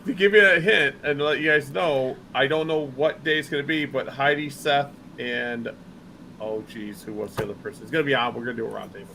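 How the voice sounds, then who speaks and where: A middle-aged man hosts a podcast with a bright, forward voice, rambling eagerly and repeating his praise. Faint noise sits under the recording. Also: medium pitch, fairly quick, creaky, rough recording. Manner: enthusiastic, rambling, repeats himself. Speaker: podcast host. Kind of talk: casual podcast chat.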